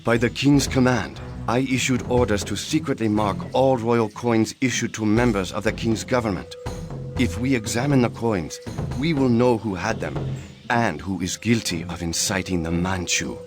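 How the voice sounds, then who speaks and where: An actor playing a loyal senior minister of an old Korean court in a game cutscene, speaking formally and with measured delivery. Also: male voice, on the low side, close to an everyday voice. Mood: firm, assured. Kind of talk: scripted historical game cutscene acting. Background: orchestral game music.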